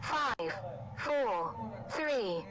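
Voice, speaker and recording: A woman's voice: on the high side, expressive, drawn-out words. A woman voicing an automated gadget that makes formal countdown announcements. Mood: procedural. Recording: very noisy playback.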